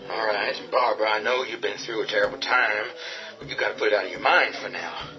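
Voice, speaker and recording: A man's voice: lowish voice, quick pace, lo-fi sound, music in the background, natural voice. An actor playing a tough man barricading a house against the undead, talking urgently and giving orders. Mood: commanding. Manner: urgent, commanding, swings to shouting. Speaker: lead character. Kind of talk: radio drama acting.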